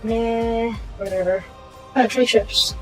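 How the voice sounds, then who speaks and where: Slightly hoarse woman muttering through gameplay. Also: fairly high pitch, steady tone, slow, faint music behind, rough recording. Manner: muttering to herself while playing, trailing off into quiet asides. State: hoarse from a sore throat. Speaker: gaming channel host. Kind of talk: casual gameplay commentary.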